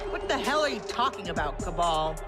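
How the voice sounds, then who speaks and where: A woman's voice: fairly low pitch, sing-song, a little fast, loud music behind the voice. An actress playing a tough, defiant special forces officer who snaps back at her captors in a video game cutscene. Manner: defiant, shouting.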